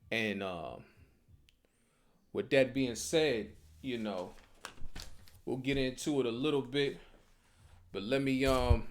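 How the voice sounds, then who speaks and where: A man teaching a religious class as an informal sermon, speaking repetitively with frequent rhetorical check-ins. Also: medium pitch.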